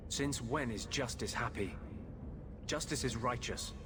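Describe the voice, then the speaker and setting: An actor playing a tormented healer mage who is possessed by a vengeful spirit, speaking with brooding, earnest intensity. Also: male voice, medium pitch, unhurried, noisy background, lightly acted. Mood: anguished, intense. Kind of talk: dramatic video game acting.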